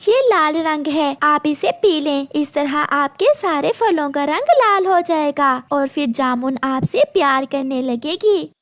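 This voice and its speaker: A woman's voice: sits high, expressive, slow pace, hardly any pauses, no echo, exaggerated voice. A woman voicing an eager, caring little female bird in a children's cartoon story. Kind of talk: cartoon storybook character acting.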